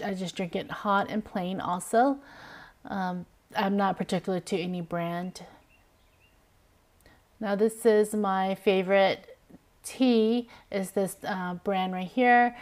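A woman's voice: mid-range voice, darkish tone. A middle-aged woman in a home kitchen, chatting casually through her groceries while filming them herself, with lots of fillers and restarts. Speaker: vlogger. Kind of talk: casual grocery haul walkthrough.

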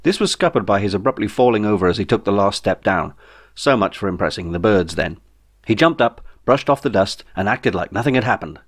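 Low-voiced man reading a comic story. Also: lively intonation, a little fast. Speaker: author reading own audiobook. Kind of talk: scripted narration, comic science fiction story.

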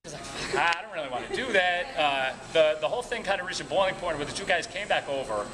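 A man's voice: a little high, lively intonation, fast talker. A man working as a tour guide, telling casual, humorous stories to a group in a colloquial way. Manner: chuckles at own anecdotes, colloquial. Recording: noisy room, background music at times.

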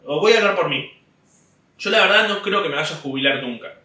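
Young Argentine man, bright and roomy. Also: fairly high pitch, lively intonation, brisk, distant mic. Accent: Argentine Spanish. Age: young adult. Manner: rambling storytelling with laughs. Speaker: comedian podcast host. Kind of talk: casual comic monologue. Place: small home room indoors. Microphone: desk mic on stand, camera at distance.

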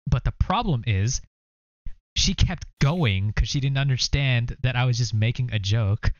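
A young man co-hosting a casual reaction chat, explaining things in a relaxed way with lots of fillers. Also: medium pitch, a little slow, dry recording, lo-fi sound. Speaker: co-host.

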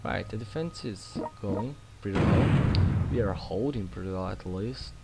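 A man's voice: on the low side, normal speed, vocal fry, heavy background noise. A young man with a Brazilian Portuguese accent, rambling casually through commentary on his own strategy game, with many fillers and restarts. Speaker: gaming channel host. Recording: loud game music and battle sounds behind.

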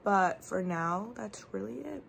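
A woman's voice: mid-range voice, animated, unhurried, gravelly, a bit bright. A young woman talking casually to her phone camera about her day in a small dorm room, full of "like" fillers. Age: young adult. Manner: chatty, peppered with "like". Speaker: college student vlogger. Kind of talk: casual vlog chat to camera. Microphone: phone at arm's length.

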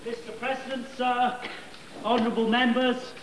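Man, slightly hoarse, very high pitch, jokey formal, roomy echo. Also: level tone, normal speed, a bit bright, a little hiss or hum. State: a little hoarse. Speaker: society secretary reading minutes. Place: large debating hall. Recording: echoey hall.

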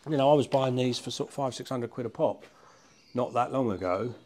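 A man's voice: medium pitch, fairly quick, darkish tone. Middle-aged British man chatting casually. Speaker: car collector and restorer presenting a channel. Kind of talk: casual chat while working on a car. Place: large workshop barn, indoors.

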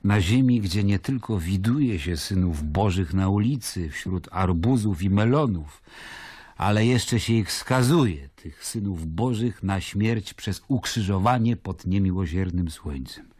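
An actor playing a stern, sceptical Roman governor in an audio drama, measured and ironic as he questions and narrates. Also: low voice, expressive, darkish tone, breathy edge, no echo, clean studio sound, exaggerated voice. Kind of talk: literary audio drama acting.

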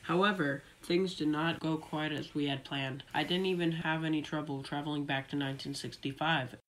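A performer voicing an excitable, sarcastic young boy adventurer, earnestly telling a scripted audio drama story. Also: medium pitch, moderate pace, lightly acted, poor audio.

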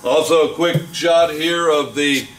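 An older man, a boat builder, giving a plain, unhurried walkthrough of a catamaran under construction, with frequent fillers. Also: on the high side, fairly even intonation, far from the mic. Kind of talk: casual walkthrough tour. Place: large boatbuilding warehouse, indoors. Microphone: camera mic, speaker often several steps away. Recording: echoey warehouse, voice from off-mic, faint hum.

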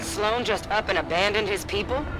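A woman acting a sharp, inquisitive soldier in a video game, speaking earnestly and full of questions. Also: mid-range voice, normal speed, heavy background noise, lightly acted. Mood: puzzled. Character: inquisitive, sharp female soldier piecing things together. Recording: loud music behind.

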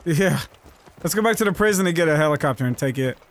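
A playful man teasing his gaming partner with laughing trash talk. His voice sits high and moves around a lot. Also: lively intonation, quick pace. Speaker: gaming channel host. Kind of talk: casual gameplay chat.

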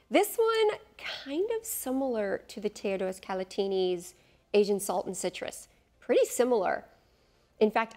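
A woman's voice: mid-range voice, darkish tone. A middle-aged woman reviewing perfumes to camera in a studio, chatty and enthusiastic, thinking aloud with fillers and asides, on a lapel mic. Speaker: fragrance reviewer. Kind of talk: casual product review to camera. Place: studio in front of a beach backdrop.

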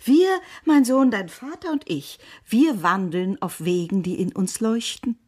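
A woman acting a stiff, solemn older mother in a radio play, her voice husky. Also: medium pitch, lively intonation, a little slow, darkish tone. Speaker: actor. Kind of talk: surreal radio drama acting.

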